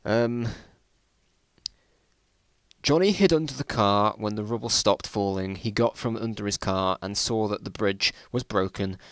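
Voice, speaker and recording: A man's voice: lowish voice, measured pace, clean tone, no echo, studio quality. A British man reading stories aloud in an informal dramatic style, breaking off with amused, incredulous asides. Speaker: reader of his own old school stories.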